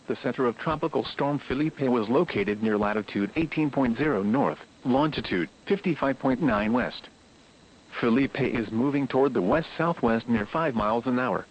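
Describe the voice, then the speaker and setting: A man's voice: mid-range voice, a little hiss or hum. A synthetic man's voice formally reading a scripted bulletin as an automated weather radio announcer. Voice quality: synthetic text-to-speech voice. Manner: formal, impersonal. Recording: muffled lo-fi weather radio broadcast.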